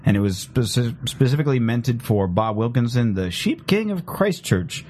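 Man, mid pitch, host leading a loose chat, hesitant with fillers. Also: moderate pace. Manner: relaxed, hesitant with many fillers. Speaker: podcast host. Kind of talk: casual chat, presenting topics.

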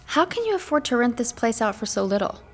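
A woman's voice: a little high, sing-song, fast, soft background music. An actress playing a skeptical, dry-witted young woman in an audio drama, blunt and questioning. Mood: suspicious. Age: young adult. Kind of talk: modern audio drama acting.

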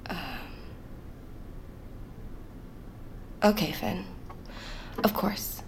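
An actress playing a dutiful, courteous and slightly anxious personal assistant in an audio drama. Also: female voice, lowish voice, expressive, slow, heavily acted, low-quality recording. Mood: hesitant, deferential. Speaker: actor in a sci-fi audio drama. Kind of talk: naturalistic audio drama acting. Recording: clear background noise, sound-effect ambience.

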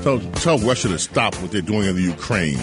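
A man's voice: deep voice, animated, normal speed, loud music behind the voice. A man hosting a call-in talk radio show, talking eagerly and wandering off on tangents. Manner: enthusiastic, rambling tangents. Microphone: studio microphone.

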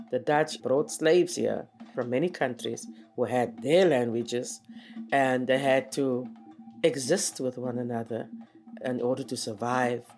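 A South African poet whose mother tongue is Afrikaans speaks English with a South African accent. The delivery is very slow and deliberate, with frequent pauses and fillers, at a middling pitch. Faint music plays underneath. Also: darkish tone. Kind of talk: reflective interview answers.

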